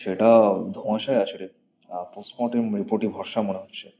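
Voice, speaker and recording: A man playing a calm, thoughtful elder-brother detective who speaks deliberately, with brief hesitations. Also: fairly low pitch, pitched below the performer's own voice, natural voice. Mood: pensive. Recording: dull recording with faint noise.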